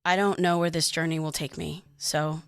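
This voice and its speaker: Woman acting an uneasy, low-voiced podcast diarist. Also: steady tone, normal speed, clean tone, no echo, studio quality. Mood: weary, hesitant. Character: exhausted, uneasy young woman recording her dream diary. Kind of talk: confessional fiction podcast narration.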